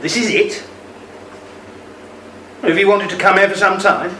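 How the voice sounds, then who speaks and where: A man's voice: high-pitched, steady tone, unhurried, echoey room, distant mic, noisy background. A man acting a teasing elderly husband in a radio play, with dry, bantering humor. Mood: wry. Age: older. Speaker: actor in audio play.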